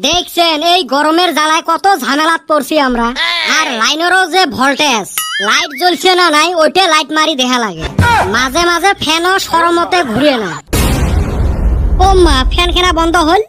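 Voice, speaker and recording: A very shrill, childlike cartoon voice put on by a performer plays a cheeky village kid. The kid complains and exclaims in a northern Bengali accent. There is clear noise behind the voice. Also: moderate pace, natural voice. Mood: grumbling, exasperated. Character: mischievous village kid grumbling about the heat. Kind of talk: comedy cartoon dialogue.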